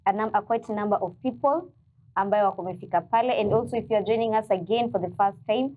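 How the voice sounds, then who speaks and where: Kenyan female morning host, mid-pitched, thin phone-line sound. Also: quick pace, a little hiss or hum. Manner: steady listener, frequent short "mm-hmm" cues. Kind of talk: casual interview hosting, mixing English and Swahili.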